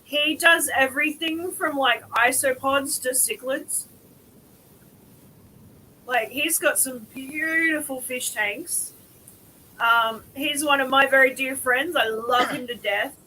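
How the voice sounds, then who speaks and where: A woman's voice: high voice, a little hiss or hum, rough recording. A woman with a Cumbrian accent hosting a casual group stream, speaking with many ums and laughing often. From Cumbria, England. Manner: slow, bright, laughs often, lots of ums. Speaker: stream host.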